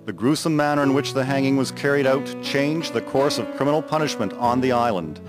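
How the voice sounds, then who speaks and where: Male narrator for historical radio drama, medium pitch, guitar backing. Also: brisk. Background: plucked guitar music.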